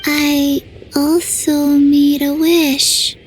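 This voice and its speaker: A small undead girl from a game, high-voiced and extremely slow. She speaks in a halting deadpan, with a childlike tone. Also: a little hiss or hum, rough recording. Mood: flat, earnest. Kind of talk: video game voice acting. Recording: music behind the voice.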